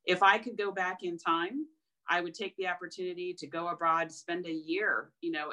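A woman's voice: on the low side, fairly bright tone, low-quality recording. A woman speaking as a panelist and giving frank, conversational career advice full of fillers over a video call.

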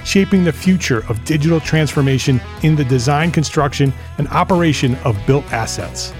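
A man's voice: fairly high pitch, fairly quick, faint music behind. A man working as a podcast host, delivering scripted introductions and interview questions with an emphatic, promotional manner.

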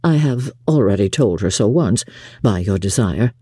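A woman voicing a proud, reserved, coolly exacting gentleman in an audiobook, formal and measured. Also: rumbling low, lively intonation, husky, no echo, studio quality, voice pushed down, heavily acted. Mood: cool reserve. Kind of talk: audiobook character acting.

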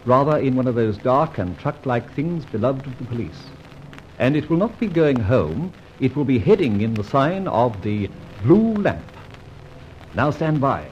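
An Australian actor playing a suave, playful gentleman thief in a 1950s radio drama, brisk and theatrical. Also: medium pitch, big pitch swings. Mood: scheming, playful. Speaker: lead character. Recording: dull old radio recording, faint hiss.

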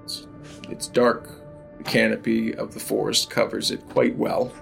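A man narrating an improvised tabletop game as game master, pausing often mid-sentence. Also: on the low side, little pitch movement, vocal fry, faint music behind.

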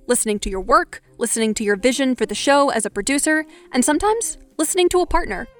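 A woman's voice: high-pitched, animated, fast talker, fairly bright tone, faint music behind. A woman hosting a podcast, reading scripted narration and asking interview questions. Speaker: podcast host.

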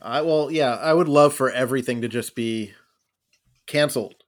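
A man's voice: mid-range voice, moderate pace. A man co-hosting a casual podcast who keeps cracking jokes and teasing the others. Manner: wisecracking, playful. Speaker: podcast co-host. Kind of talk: casual chat.

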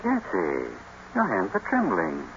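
Genteel husband, proper, devoted, hugely animated pitch swings, muffled vintage radio drama. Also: male voice, a little slow, gravelly, heavily acted. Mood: reassuring. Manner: genteel, expressive. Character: devoted, proper gentleman husband, easily indignant. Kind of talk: 1940s-50s radio drama acting. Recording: noisy, dull old radio broadcast.

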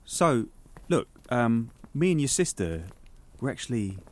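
An actor playing a teasing but considerate young theme-park worker with a British accent, informal and conversational in an audio drama. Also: male voice, medium pitch, big pitch swings, unhurried. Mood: sheepish, casual. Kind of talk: naturalistic audio drama acting.

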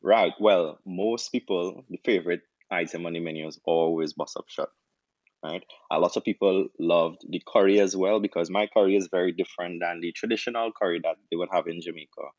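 Man, Trinidadian accent, very low voice, dry and slightly dull recording, relaxed storyteller. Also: brisk, no echo. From Trinidad and Tobago. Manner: relaxed, storytelling, frequent restarts. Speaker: doctor turned chef, guest. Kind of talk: casual interview answers.

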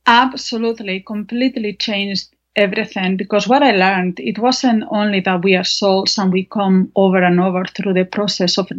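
Casting: female interview guest, Spanish accent, mid-pitched, recounting personal experience over muffled lo-fi audio. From Spain. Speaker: guest author. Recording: dull, band-limited lo-fi sound.

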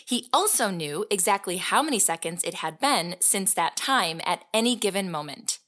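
A woman playing a composed narrator who recounts a superhero case in measured, expository, scripted documentary style. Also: medium pitch, expressive, a bit bright, lightly acted. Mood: composed, explanatory.

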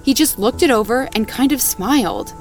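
A woman's voice: a little high, expressive, normal speed, faint music behind. An actress playing a wary, practical woman out camping in a naturalistic audio drama, matter-of-fact and insistent. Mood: tense, insistent.

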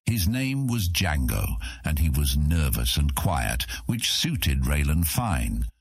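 A man reads scripted fantasy narration in a deep, gravel-edged voice. He tells the story in a measured way. The recording is dry, with no room sound around him. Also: big pitch swings, no echo. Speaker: audiobook narrator.

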